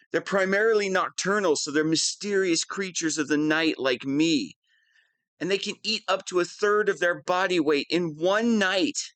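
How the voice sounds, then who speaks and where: Pompous, whiny wannabe supervillain, slow-paced. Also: male voice, a little high, a bit bright, no room sound. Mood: indignant, whiny. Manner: pompous monologuing that slips into whining. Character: whiny, theatrical wannabe supervillain, smug but petulant. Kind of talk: scripted audio roleplay monologue.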